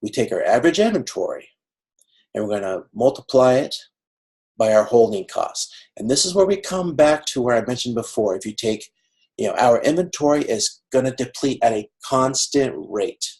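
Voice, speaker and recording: A man with a creaky voice teaching an online class informally, explaining step by step in a halting way on a video call. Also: medium pitch, expressive, darkish tone, rough recording. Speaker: instructor of an online college class. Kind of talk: informal lecture working through a cost example. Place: video conference.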